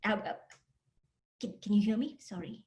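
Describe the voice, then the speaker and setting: A woman's voice: mid-range voice, normal speed, a little mellow. A woman from India with an Indian accent, a counseling center director introducing herself candidly and earnestly on a video call panel. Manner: candid, earnest, apologetic about connection.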